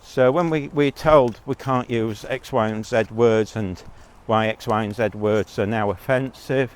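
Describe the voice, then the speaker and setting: A man's voice: mid-range voice, a little slow, smooth. A man giving a blunt, rambling monologue as a vlogger, talking while out on a walk.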